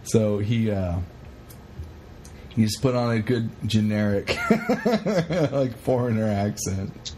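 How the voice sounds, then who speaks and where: A man co-hosting a podcast, chatting casually in an animated, rambling, sweary way as he retells stories. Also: lowish voice, slow, pauses often, a little hiss or hum. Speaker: podcast co-host. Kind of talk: casual chat retelling a historical story.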